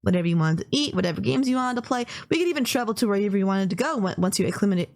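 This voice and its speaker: A woman's voice: mid-range voice, lively intonation, rapid-fire, a little mellow, natural voice. A woman playing a polite, gushing young female kidnapper in an intimate anime-style roleplay, earnest, apologetic and rambling, obsessively in love. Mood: eager, devoted.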